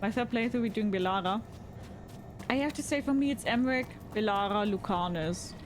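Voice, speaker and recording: A woman's voice: a little fast, noisy background. A woman streaming a game, chatting casually with her viewers, asking them questions and reading game text aloud. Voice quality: says her voice is fairly deep. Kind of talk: casual gaming chat with viewers. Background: game music.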